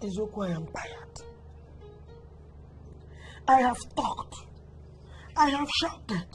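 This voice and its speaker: A woman's voice: extremely high pitch, animated, fairly quick, faint music behind. An actress playing a suspicious, theatrical mother who accuses and wails at her son's girlfriend. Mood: weary lament. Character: dramatic Nigerian mother-in-law, suspicious and scheming. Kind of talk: Nollywood-style skit acting.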